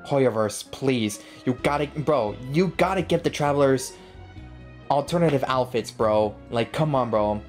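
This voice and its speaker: A man's voice: mid-range voice, measured pace. A young man doing casual, slangy, playful let's play commentary as a video game streamer. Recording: game music behind the voice.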